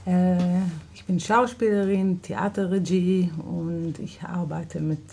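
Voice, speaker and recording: Israeli-accented woman, slow and halting. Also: fairly low pitch, steady tone, vocal fry, dark tone, slight background noise. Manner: halting, searching for German words, laughs often. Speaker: actress and theater director. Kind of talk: radio studio interview. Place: radio studio.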